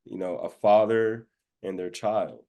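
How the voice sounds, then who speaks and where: Male podcast guest, low voice, from the rural American South, relaxed informal storytelling. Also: unhurried. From southern United States, rural Georgia and Florida Panhandle. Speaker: interview guest, actor. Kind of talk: casual conversational storytelling.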